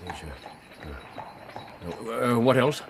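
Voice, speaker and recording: An English actor playing a brisk, eccentric, perceptive gentleman detective in a radio drama, abrupt and theatrical, muttering to himself. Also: mid-range voice, expressive, creaky, slight background noise. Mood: curious, brisk.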